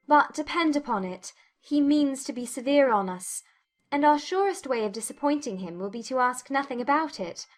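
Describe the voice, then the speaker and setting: A woman playing a witty, spirited young Regency gentlewoman in a dramatized audiobook, teasing and articulate in formal speech. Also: high-pitched, animated, normal speed. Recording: music bed under the voice.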